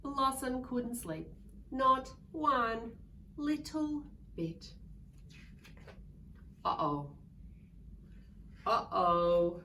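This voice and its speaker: A woman reading a picture book aloud to young children in a playful, expressive way, drawing out words and acting out sounds. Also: fairly high pitch, extremely slow, long pauses. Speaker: children's story reader. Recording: distant mic with faint background noise.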